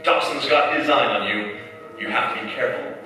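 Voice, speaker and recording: A man's voice: mid-range voice, brisk, natural voice. A man playing a grave, urgent narrator in a staged 1940s radio drama, dramatic and intense. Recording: echoey hall, far mic, music behind. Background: music.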